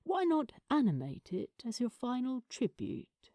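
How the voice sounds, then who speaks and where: A woman voicing a gentle, wry, frail elderly father in audiobook dialogue, speaking fondly and in a measured way. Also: a little high, huge pitch swings, no echo, studio quality, voice pushed up, heavily acted. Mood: gentle, encouraging.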